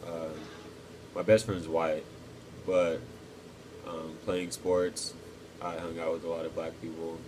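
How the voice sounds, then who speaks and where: Young man from Texas, deep and even, measured interview answers with audible room hum. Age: 20s. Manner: thoughtful, pauses with ums. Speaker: interviewee, cadet.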